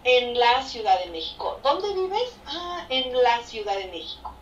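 Woman, Spanish tutor, slow and deliberate, fairly high voice; heard from a phone speaker. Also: big pitch swings, roomy sound, far from the mic, muffled recording, slight background noise. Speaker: Spanish teacher. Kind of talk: online class over a phone.

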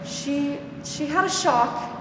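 A young woman acting a gentle, weary, protective older aunt in a 1940s-style radio drama, soothing and hesitant. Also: high voice, slow pace. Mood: hesitant, sorrowful. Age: young adult. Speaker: student actor. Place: school theatre stage. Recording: echoey hall, noisy background.

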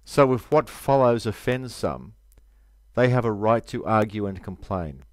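A man with an Australian accent giving a measured, deliberate scripted voice-over. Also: lowish voice, expressive, no room sound. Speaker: video narrator.

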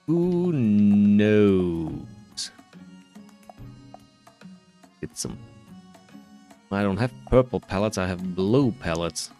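A man's voice: on the low side, expressive, drawn-out words, pauses often, mellow, soft background music. A man doing casual playthrough commentary, musing to himself in a dry, self-deprecating way. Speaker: gaming video creator.

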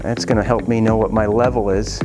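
A man's voice: lowish voice, steady tone, a little fast. Playful American man explaining science over music. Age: middle-aged. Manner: playful, colloquial explaining with joking asides. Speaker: science presenter. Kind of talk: casual science demonstration to camera. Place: classroom laboratory, indoors.

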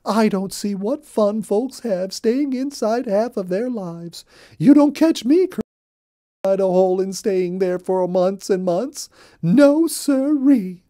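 A man's voice: high voice, expressive, takes it slowly, dark tone, voice pushed up. A man voicing a gossipy female cottontail rabbit who chatters breathlessly, for a children's storybook. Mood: gossipy, excited.

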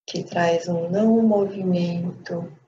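A Brazilian woman leading a guided meditation as a teacher, speaking calmly in short phrases with long pauses. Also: fairly low pitch. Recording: dull lo-fi sound with light background noise.

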